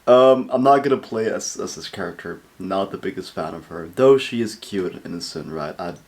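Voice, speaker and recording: A man's voice: low-pitched, lively intonation, moderate pace, darkish tone. A man hosting a reaction video, chatting and rambling casually to his viewers.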